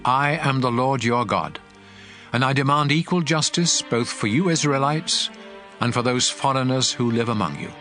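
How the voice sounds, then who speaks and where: An actor playing a stern, commanding God in a dramatized scripture reading, solemn, measured and authoritative. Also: male voice, mid-range voice, a bit bright, faint music behind, close to an everyday voice. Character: God of Israel, stern commanding male lawgiver.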